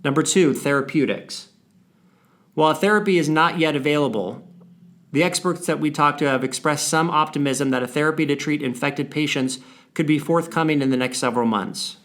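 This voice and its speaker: A man with a somewhat higher-than-average voice presents a prepared briefing to clients. He reads in a formal, measured manner, setting out figures and names deliberately. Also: a bit bright. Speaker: financial firm presenter on a client briefing call. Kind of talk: scripted briefing read aloud.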